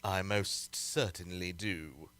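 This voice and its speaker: A man's voice: deep voice, steady tone, slow, a bit bright, clean tone. A man playing a cruel, imperious emperor in an old-time radio serial, theatrical and menacing. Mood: smug. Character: tyrant emperor, cruel, imperious, grandiose, easily enraged.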